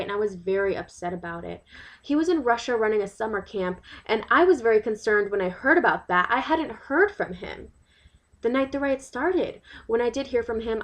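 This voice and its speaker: A woman's voice: mid-range voice, lightly acted. A woman performing a dramatic monologue as an indignant, worried New York mother, emphatic and exasperated, firing rhetorical questions. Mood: anxious. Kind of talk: dramatic monologue, testimonial to a listener.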